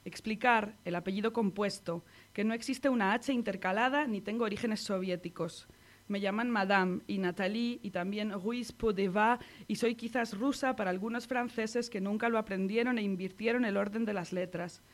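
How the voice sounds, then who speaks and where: Spanish woman reading a poem. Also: medium pitch. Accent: Spanish from Spain. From Spain. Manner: measured, intimate recitation. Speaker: poet reading her work. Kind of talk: poetry reading.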